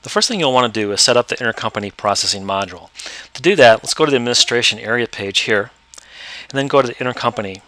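A man explaining step by step how to use accounting software in a screen-recorded tutorial. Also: medium pitch, expressive, quick pace, no echo. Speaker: software trainer.

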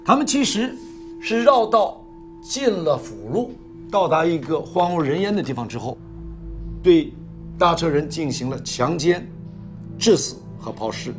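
High-voiced emphatic case officer. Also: male voice, very animated, a little slow, pauses often. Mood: serious, emphatic. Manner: emphatic, formal, clipped phrases. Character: brisk, serious male official briefing colleagues on a case. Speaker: actor in a film drama. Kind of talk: scripted case briefing, film acting. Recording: faint music behind.

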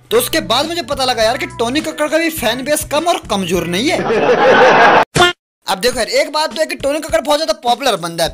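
A young man doing a comic roast voice-over, casual and mocking, with rapid banter. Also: very high-pitched, fairly bright tone. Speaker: roast video creator.

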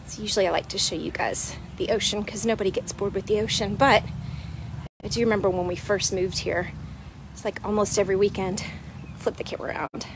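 A woman's voice: mid-range voice, steady tone, fairly quick, noisy background. Chatty woman walking outdoors. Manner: chatty, explanatory, with frequent "right?" and "um". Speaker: weight loss coach. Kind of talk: casual walk-and-talk vlog. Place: outdoors at a beach park. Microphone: wired earphone mic, phone at arm's length.

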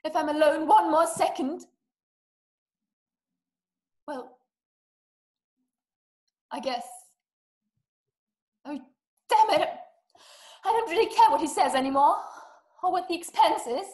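A noticeably high voice with room echo from a video call. It is a young woman acting a fretful, imperious invalid wife in a play, full of complaint and self-pity. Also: animated, slow, pauses often, a little mellow. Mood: anxious, entitled. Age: young adult. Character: anxious, demanding, self-pitying invalid wife. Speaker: actress. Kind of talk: stage acting in a radio-play adaptation. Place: small room indoors. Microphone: webcam video call. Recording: roomy laptop video-call sound.

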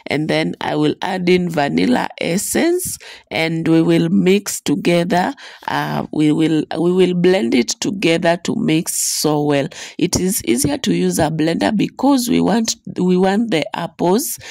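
Baking vlog host, woman, low raspy voice from a cold, dry close voice-over. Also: darkish tone, no room sound. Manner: instructional, many fillers and restarts. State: hoarse from a bad cold. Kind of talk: voice-over for a cooking video. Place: voice-over recorded separately, dry room.

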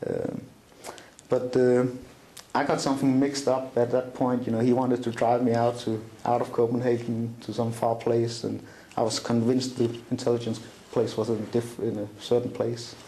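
Middle-aged Danish man, gravelly dark voice, echoey room, halting interview guest. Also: mid-range voice, completely flat delivery, a little hiss or hum. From Denmark. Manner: halting, many fillers and restarts. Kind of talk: recounting a personal story in an interview. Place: small room indoors, seated at a table.

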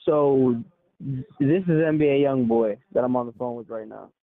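A man's voice: mid-range voice, normal speed, gravelly, no room sound. An American man talking casually and in slang over a phone line, as a videographer discussing a job. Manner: slangy, informal. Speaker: music video videographer on a call. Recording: tinny narrowband phone line.

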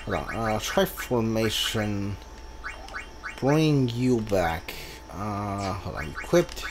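Slow-talking man muttering through a video game. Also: on the low side, animated, noisy background. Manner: muttering his moves aloud, thinking as he goes. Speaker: gamer playing through a role-playing game. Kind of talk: casual gameplay commentary. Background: game music.

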